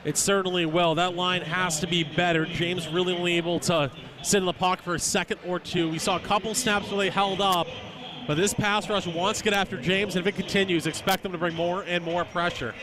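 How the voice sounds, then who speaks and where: High-voiced male football color commentator. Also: little pitch movement, brisk, crowd noise. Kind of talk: live radio American football analysis. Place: stadium game broadcast. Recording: live outdoor broadcast with background noise.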